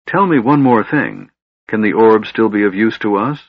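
A man's voice: fairly low pitch, expressive, normal speed, dry recording, lo-fi sound. A man voicing an earnest, insistent half-elf adventurer in a fantasy storybook, urgent and questioning.

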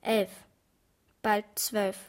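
A woman's voice: mid-range voice, level tone, very slow. A woman playing a guarded, innocent-sounding eleven-year-old girl in a German radio play, giving terse, evasive answers.

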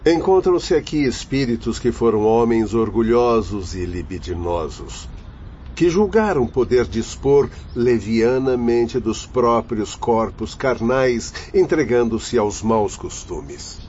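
Solemn brazilian doctor ghost in a radio play, slow and mellow, stern about vice. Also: male voice, mid-range voice, lively intonation. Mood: grave. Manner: solemn, measured, condemning. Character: grave doctor spirit, a father, lamenting human vice. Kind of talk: radio drama acting in a spiritist serial. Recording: muffled lo-fi audio with faint noise.

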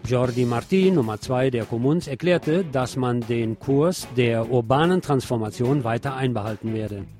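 A man's voice: mid-range voice, normal speed. Man, radio news presenter, scripted commentary, wry and sardonic, faint guitar music behind.

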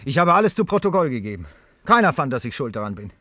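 A man defending himself in vintage radio drama. His mid-pitched voice is terse and insistent, with a guarded edge. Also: very animated, fast, sharp tone, no echo. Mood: defensive. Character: Marco, defensive man under accusation. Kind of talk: 1950s German radio drama acting.

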